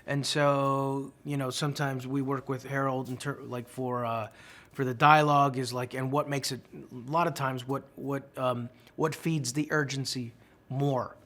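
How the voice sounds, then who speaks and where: A man with a mid-range voice explaining things thoughtfully in an interview. He speaks haltingly and repeats words as he works through his ideas. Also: mid-range voice, level tone, fairly bright tone. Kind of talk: casual junket interview.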